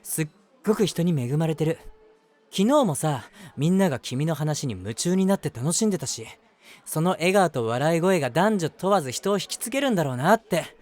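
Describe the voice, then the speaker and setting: A man's voice: on the high side, animated, normal speed, a little mellow, lightly acted. A man voicing an eager, easily flustered young man in love, chatty and self-deprecating, in a romantic audio drama. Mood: giddy, eager. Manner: chatty, self-deprecating, earnest, hesitant asides. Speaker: voice actor.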